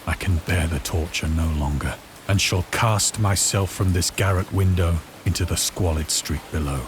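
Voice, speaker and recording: An actor playing a despairing, morphine-addicted former seaman, narrating a horror game in a grave, measured, formal manner. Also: low-pitched, noisy background, natural voice. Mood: anguished, grim. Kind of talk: dramatic first-person horror narration.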